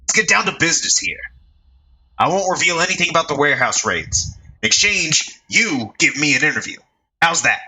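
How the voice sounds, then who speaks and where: A man voicing a brash male reporter who taunts and talks back quickly. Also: fairly high pitch, animated, sharp tone, breathy edge, voice pushed up, full character voice, rough recording. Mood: taunting. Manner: taunting, fast-talking.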